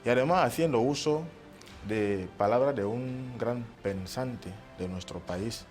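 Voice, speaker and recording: A man from Equatorial Guinea speaking as a guest on a studio panel, giving measured advice and quoting proverbs. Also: medium pitch, a little mellow, soft background music.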